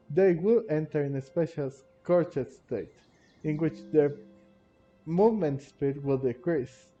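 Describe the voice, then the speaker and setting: Slow-talking, higher-pitched male gamer. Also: warm tone. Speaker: gaming video host. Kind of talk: casual game playthrough commentary. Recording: dull lo-fi microphone sound. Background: faint game music.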